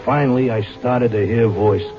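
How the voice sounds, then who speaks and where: A man's voice: medium pitch, moderate pace, natural voice. An actor playing a cynical, streetwise racetrack gambler telling his strange tale in an old radio drama, colloquial and wisecracking. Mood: haunted recollection. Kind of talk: old radio drama first-person narration. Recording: dull vintage radio recording with music.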